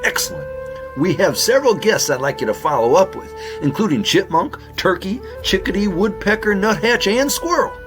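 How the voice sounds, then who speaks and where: A man voicing an earnest, excitable raccoon detective running a case in a children's audio drama. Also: high-pitched, moderate pace, music in the background. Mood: businesslike. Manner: polite, businesslike, flustered when surprised.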